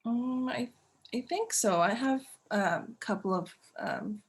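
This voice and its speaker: A woman on a video call plays a client in a sales role-play. She speaks slowly and answers briefly, with some hesitation. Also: fairly high pitch. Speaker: role-play partner playing a past client.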